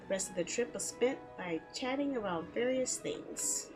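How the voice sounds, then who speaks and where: A woman's voice: on the high side, lively intonation, normal speed, music in the background. A woman streaming a game, chatting casually and reading story text aloud in a playful way. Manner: giggly, playful, chattering to herself. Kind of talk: casual livestream chat with visual novel read-alouds.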